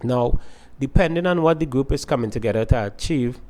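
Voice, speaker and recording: A male lecturer with a voice of ordinary middle pitch. He explains concepts methodically and builds lists by repeating the same opening words. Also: brisk, mellow. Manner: explains step by step with repeated phrasing. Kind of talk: recorded lecture to students.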